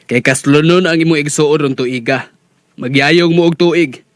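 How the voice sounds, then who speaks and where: An actor playing a stern, firm older parent in a Bisaya radio drama. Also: male voice, a little high, measured pace, dry recording, studio quality, natural voice.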